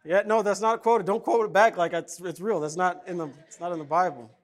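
Lively conversational preacher man. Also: sits high, a little fast. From Kenya. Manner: conversational, jokey, animated. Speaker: pastor. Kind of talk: casual sermon with stories.

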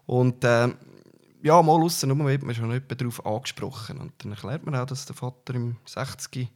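A middle-aged man with a Swiss German accent, faintly coloured by the Uri dialect, speaking at a medium pitch for a man. He answers a journalist's questions calmly but haltingly. He often pauses on filler sounds before going on. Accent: Swiss German, mildly Uri dialect. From Canton Uri, Switzerland. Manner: hesitant, frequent filler sounds. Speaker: political candidate. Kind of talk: interview answers.

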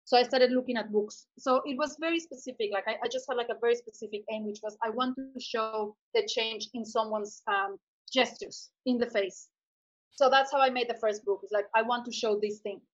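A woman with a fairly high voice talks through her thoughts in a relaxed interview. She hesitates and restarts as she looks for the right words. Her sound comes through a little dull and lo-fi. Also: a little fast. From Mexico. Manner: thoughtful, searching for words with many fillers. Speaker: artist guest. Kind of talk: conversational interview.

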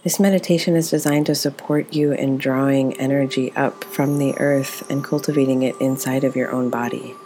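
Woman, very deep voice, guided meditation teacher, gentle and unhurried, light background noise. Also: steady tone. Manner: gentle, soothing, unhurried instruction.

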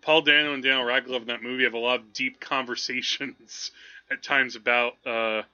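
A man hosting a film podcast, chatting casually in a rambling, halting way as he thinks aloud. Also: mid-range voice, steady tone, pushed and bright, lo-fi sound. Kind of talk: casual movie discussion.

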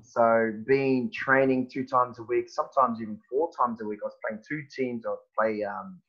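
Kiwi man in his thirties, podcast guest, halting laid-back talker full of "man" and "bro", muffled lo-fi recording. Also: medium pitch, fairly even intonation, a bit bright. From New Zealand. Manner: halting, many fillers and restarts, says "man" and "bro". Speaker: guest, personal trainer. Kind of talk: casual podcast chat.

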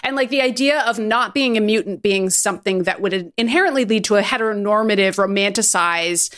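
A woman's voice: medium pitch, fairly quick, a bit bright. A woman co-hosting a podcast, chatting casually and giving her take on pop culture.

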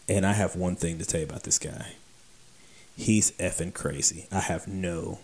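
A man with a somewhat low voice spins a casual workplace anecdote. He acts out each exchange, voicing the other people as he goes. His delivery is animated and conversational. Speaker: beer delivery storyteller.

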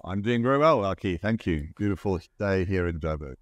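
A middle-aged man from Zimbabwe, a company CEO explaining his business in measured, steady answers as an interview guest. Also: sits low, no room sound.

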